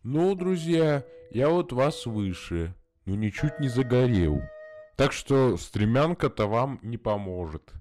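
A man's voice: medium pitch, lively intonation, slow pace, a little mellow. A man voicing a talkative grown-up neighbor in an amateur cartoon who muses in a folksy way.

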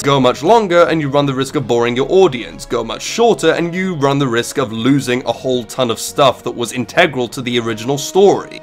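A young man narrating a scripted, opinionated video essay as its host, in an emphatic, rhetorical manner. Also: fairly high pitch. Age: young adult. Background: background music.

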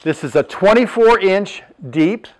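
Sixties male business owner, plain unpolished showroom pitch, a touch higher-voiced. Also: animated, a little slow. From Southern California. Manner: plain, matter-of-fact, lists measurements with fillers. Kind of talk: product presentation. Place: furniture showroom, indoors.

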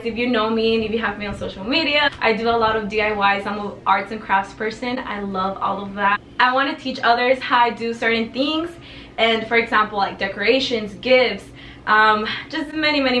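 Teen girl vlogging from her bedroom, higher voice, bit of faint background hiss. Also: pushed and bright. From El Paso, Texas, raised in Juarez, Mexico. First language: Spanish. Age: teens. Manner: bubbly, talkative, gestures a lot. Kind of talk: casual talk to camera. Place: bedroom with tapestry backdrop, indoors. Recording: echoey room, mic a bit far.

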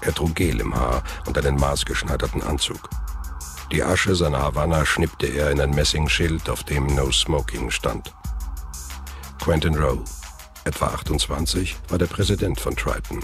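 Casting: male storyteller, extremely low voice, scripted suspense narration over music. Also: normal speed, fairly bright tone.

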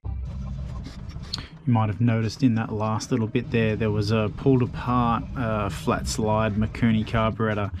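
A man casually narrating his motorbike rebuild in a relaxed, rambling way, with lots of fillers and dry self-deprecating asides. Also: on the low side, unhurried, music in the background. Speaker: motorbike restoration vlogger. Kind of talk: casual workshop voice-over narration.

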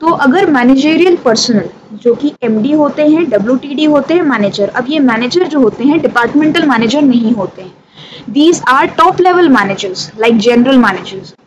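A woman's voice: high voice, animated, moderate pace, highs cut off. A woman with an Indian accent giving an informal revision lesson, speaking conversationally and addressing her students directly. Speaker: teacher of company law exam revision. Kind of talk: informal lesson mixing Hindi and English. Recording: clearly audible background noise.

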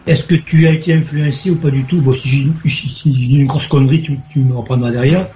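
A man on a talk radio show asking polite, halting questions. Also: mid-range voice, fairly even intonation, dark tone, lo-fi sound, slight background noise.

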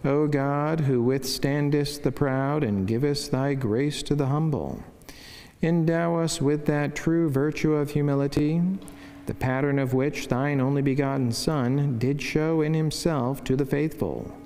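A man, a priest, reading a scripted devotional in a measured, formal and reverent way. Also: on the high side, warm tone. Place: wood-panelled church sanctuary, indoors. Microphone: lectern microphone. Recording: long church reverb.

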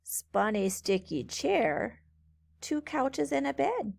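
A woman teacher reading a storybook aloud to children in a measured, sing-song way. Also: high-pitched, very animated, vocal fry, a little hiss or hum. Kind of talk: storybook read-aloud narration.

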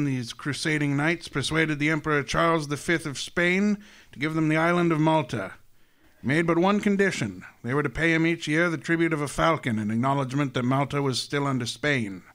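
Male actor as genteel older villain, creaky voice, slightly raised pitch, grandiloquent, scheming, radio drama. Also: moderate pace, fairly bright tone. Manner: courtly, grandiloquent, relishing. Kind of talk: hardboiled detective radio drama acting.

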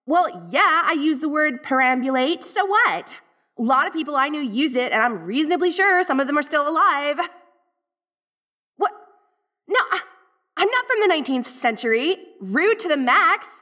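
A woman's voice: sits high, very animated, normal speed, bright, forceful tone, full character voice. A woman playing a dorky, bratty 1980s American Valley girl ghost in a roleplay audio drama, snarky and easily flustered with theatrical slang. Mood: snarky, defensive.

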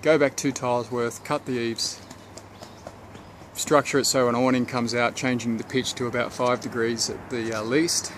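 A man of medium pitch talks through his renovation plans while filming on a handheld camera. He speaks in a relaxed, unhurried way, often stopping to rethink and restart his sentences. A faint hiss sits under the outdoor recording. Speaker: homeowner giving a renovation tour. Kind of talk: casual thinking-aloud walkthrough. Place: outdoors around a house, under a deck and in a garden. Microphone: handheld camera held by the speaker.

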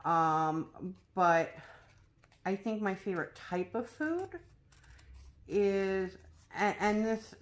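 A woman from New Mexico with an American accent, chatting casually and hesitantly as she crafts. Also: on the low side. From New Mexico, USA. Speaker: host of a crafting vlog. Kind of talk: casual chat while crafting. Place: indoors at a craft table.

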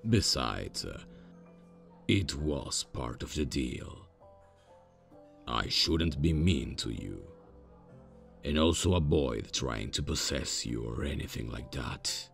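A man acts out a polite but socially stiff demon from hell in an ASMR roleplay. The voice is extremely deep and gravelly, and the delivery is very slow. He talks formally, with awkward hesitations and a deadpan tone. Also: soft background music, heavily acted. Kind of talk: ASMR roleplay, scripted comic monologue.

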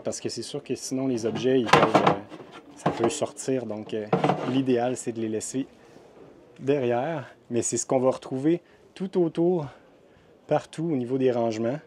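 Mellow Québécois man touring campers. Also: medium pitch, slight background noise. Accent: Québécois French. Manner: chatty and descriptive, frequent hesitations. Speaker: RV vlogger touring a trade show. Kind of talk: casual walkthrough narration. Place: indoor RV show hall.

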